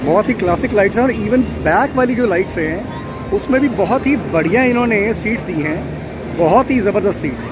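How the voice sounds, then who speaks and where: High-voiced man touring a car show. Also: lively intonation, brisk, over music. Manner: mixes Hindi with English phrases, repeats names. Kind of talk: casual walkthrough commentary. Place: large indoor auto expo hall. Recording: loud crowd hall noise.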